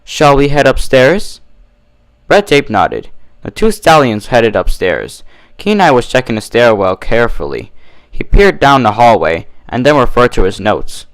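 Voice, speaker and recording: Expressive male story reader, lively pitch. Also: moderate pace, no echo, rough recording. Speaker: fan fiction reader and reviewer. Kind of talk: storybook narration and casual review.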